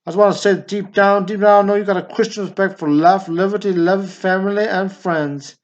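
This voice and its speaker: Man acting an excitable, high-strung guy, dull recording. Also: fairly quick, natural voice. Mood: pleading, effusive. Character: excitable, needy small-town Texan man, boastful and sentimental. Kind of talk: stage drama acting.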